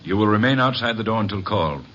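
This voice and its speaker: Guy playing suave formal card game host, real deep lively voice, dull old radio sound. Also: close to an everyday voice. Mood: suave, commanding. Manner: formal, precise, measured phrasing. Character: suave, formal male club owner hosting a card game. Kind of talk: old-time radio drama acting. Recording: dull, hissy vintage radio recording.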